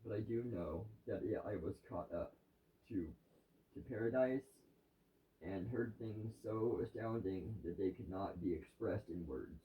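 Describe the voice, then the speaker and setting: A man's voice: fairly low pitch, measured pace. Distant, echoey man reading scripture aloud. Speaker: reader of a daily devotional. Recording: distant, roomy, dull lo-fi sound.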